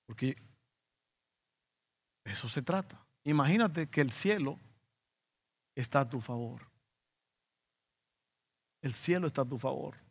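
A man's voice: mid-range voice, animated, a little slow, vocal fry, long pauses, breathy edge. A male pastor with a Dominican Spanish accent, preaching a conversational sermon with personal stories and sudden emphatic bursts. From Dominican Republic.

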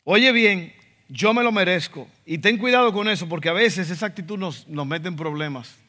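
A man's voice: sits high, moderate pace, pushed and bright. A man with a Dominican accent preaching a sermon as a pastor, emphatic, acting out quotes in asides. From Dominican Republic. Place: church sanctuary. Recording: reverberant church hall.

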